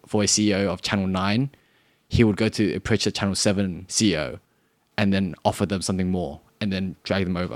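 A man's voice: deep voice, no echo. A young Australian man co-hosting a podcast, chatting casually and rambling with lots of fillers. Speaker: podcast co-host. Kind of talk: casual chat.

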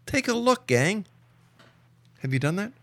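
A man's voice: sits high, sing-song, measured pace, fairly bright tone, no room sound. A man hosting a radio talk show, questioning his guest in a direct, skeptical way. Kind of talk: probing radio interview.

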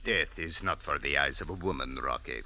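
An actor playing a big, menacing Bedouin sheikh who speaks in a slow, formal way, in 1940s radio acting style. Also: male voice, extremely low pitch, pushed and bright, heavily acted.

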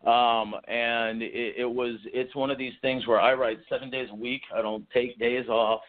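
A man's voice: medium pitch, level tone, moderate pace. A man in his forties from Texas, a guest author chatting in an interview, enthusiastic and rambling with many restarts. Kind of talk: casual interview chat. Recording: tinny phone line.

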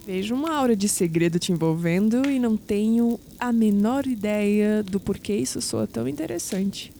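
A woman's voice: mid-range voice, moderate pace, vocal fry, warm tone, slight background noise, lightly acted. A woman playing a curious, teasing young skeptic with a Brazilian accent in an audio drama, speaking in a wry, intimate way.

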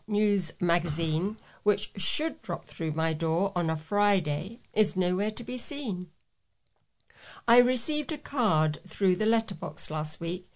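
British woman reading local letters aloud. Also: lowish voice. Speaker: volunteer newsreader for a talking newspaper.

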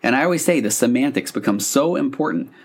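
A man's voice: medium pitch, animated, quick pace. A man hosting a podcast, talking in a casual, explanatory, conversational monologue. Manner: conversational, acting out example dialogue lines. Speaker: podcast host.

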